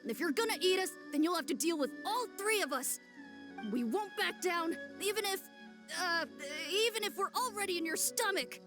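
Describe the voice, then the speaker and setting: A woman's voice: very high voice, animated, moderate pace, over music. A woman voicing a small, childlike yokai creature in a video game, earnest and plucky. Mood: defiant.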